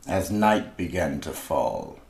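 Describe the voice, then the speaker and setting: Male narrator, radio mystery, ominous storyteller, slow pace, expressive pitch movement. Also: vocal fry, noisy background.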